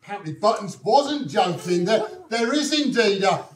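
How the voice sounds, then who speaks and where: A man's voice: high-pitched, expressive, moderate pace. A man playing a meek, henpecked husband and father in a stage pantomime, hesitant, stammering and obedient. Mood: meek, flustered. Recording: echoey stage, distant from microphone.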